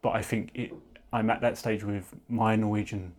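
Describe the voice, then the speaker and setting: A man's voice: fairly low pitch, level tone, moderate pace, darkish tone. A man hosting a poetry podcast, chatty and rambling, thinking aloud as he asks questions. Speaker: podcast host. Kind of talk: podcast interview.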